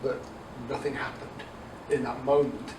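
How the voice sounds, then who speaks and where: A man in his forties from Dublin with an Irish accent softened by English, answering interview questions conversationally as a youth ministry director. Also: medium pitch, little pitch movement, unhurried. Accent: Irish mixed with English. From south Dublin, Ireland. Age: 40s. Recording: echoey, distant mic, noisy room.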